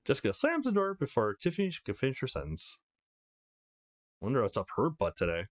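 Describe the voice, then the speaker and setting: Man with very animated pitch, let's play host. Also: a little fast. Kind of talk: visual novel let's play commentary, reading script aloud.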